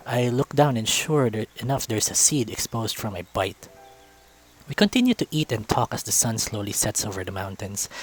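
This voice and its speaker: A man reading a visual novel aloud as narrator, in a storytelling style. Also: fairly low pitch.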